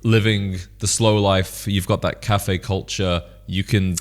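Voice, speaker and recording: Deep-voiced man hosting a conversational podcast. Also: steady tone, a little slow. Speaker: podcast host. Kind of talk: conversational interview.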